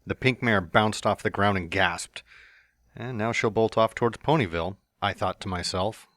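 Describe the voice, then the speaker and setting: A man's voice: fairly low pitch, moderate pace, fairly bright tone, dry recording, studio quality. A man reading a fan story aloud as narrator, with playful, smug first-person asides.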